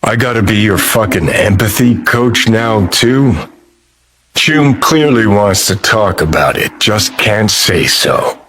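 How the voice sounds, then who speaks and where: A man's voice: on the low side, lively intonation, slow pace, fairly bright tone. A man voicing a cynical, foul-mouthed rockerboy ghost in a video game, with an American accent and a sardonic, world-weary drawl. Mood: cynical, jaded. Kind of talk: video game character dialogue.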